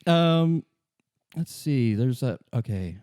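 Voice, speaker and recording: A man narrating casually as game master of a tabletop roleplay, halting and repeating his words as he goes. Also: mid-range voice, lively intonation, a little mellow, dry recording, clean studio sound.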